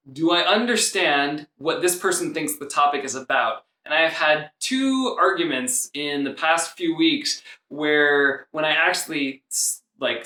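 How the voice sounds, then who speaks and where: A man in his thirties with a loud voice, coaching viewers on calm communication in a video lesson, gesturing as he speaks. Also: fairly high pitch. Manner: animated, gesturing with both hands. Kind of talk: talking-head video lesson. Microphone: camera a few feet away. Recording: echoey room, voice slightly distant.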